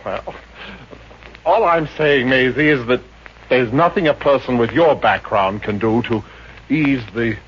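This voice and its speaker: A man's voice: medium pitch, a little slow, a bit bright, natural voice. A man playing a self-important, easily flustered psychiatrist, stammering and pompous, in 1950s radio comedy style. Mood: flustered, indignant. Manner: hesitant, stammering, indignant pomposity. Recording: dull, noisy old-time radio recording.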